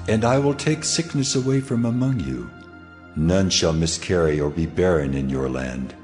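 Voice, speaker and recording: A very low, solemn male voice of God in a dramatized audio Bible. He pronounces law with measured authority and command, with music running underneath. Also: darkish tone. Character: God of Israel, solemn commanding lawgiving deity.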